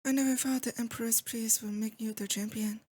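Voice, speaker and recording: A woman's voice: on the high side, fairly even intonation, brisk, warm tone, slightly rough. A woman acting as a lovesick, possessive demon lord in a romantic ASMR roleplay, intimate and doting with a theatrical menace. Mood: wounded, longing.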